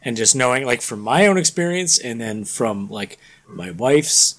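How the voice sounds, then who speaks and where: A man's voice: medium pitch, expressive, unhurried, a bit bright. Rambling man hosting a podcast. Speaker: podcast host. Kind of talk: casual podcast conversation.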